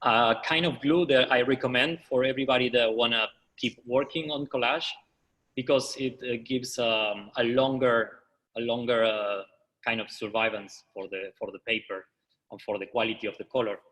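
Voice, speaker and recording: Argentine man, halting English, bright echoey voice. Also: medium pitch, fairly even intonation. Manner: halting non-native English, frequent fillers and restarts. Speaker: artist leading a workshop. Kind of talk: live online demonstration.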